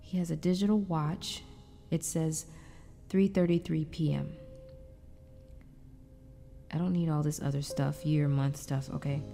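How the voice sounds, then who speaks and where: A woman's voice: low-pitched, steady tone, a little slow, vocal fry, pauses often, warm tone, faint music behind. A woman playing an anguished but determined wife and mother in an audio drama, narrating in a confiding, reflective way. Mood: uneasy, focused. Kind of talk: first-person dramatic narration.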